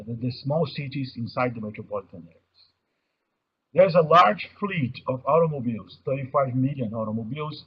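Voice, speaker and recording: A man with a Brazilian accent, a native Portuguese speaker, giving an explanatory webinar presentation and haltingly repeating words as he searches for phrases. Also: mid-range voice, husky. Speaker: webinar presenter, mobility observatory coordinator. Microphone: online webinar connection. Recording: dull, muffled webinar audio.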